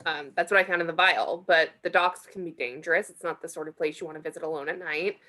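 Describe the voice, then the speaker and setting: A woman's voice: deep voice, fairly even intonation, fast, sharp tone. A woman playing an eager, snooping young woman in an amateur script reading. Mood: earnest.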